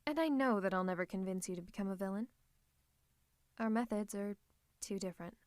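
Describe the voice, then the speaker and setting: A woman's voice: medium pitch, a little fast, a little mellow, dry recording, natural voice. A woman voicing a theatrical, possessive female supervillain who is secretly a tender lover, in a scripted ASMR roleplay with a dramatic, haughty, teasing manner. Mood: devoted, tender. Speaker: ASMR voice performer.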